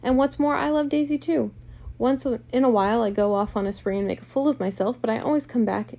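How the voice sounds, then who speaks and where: A woman's voice: on the high side, fairly quick, vocal fry, warm tone. A woman voicing an arrogant, hot-tempered wealthy man with a blustering, accusatory manner in an audiobook story. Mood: smug, insistent.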